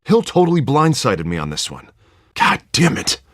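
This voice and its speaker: An earnest American district attorney in his thirties for a video game. His pitch swings widely as he talks in an emphatic, colloquial way, recorded close and dry. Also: big pitch swings, moderate pace, fairly bright tone, no echo. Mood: frustrated. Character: earnest, excitable male district attorney, loyal friend.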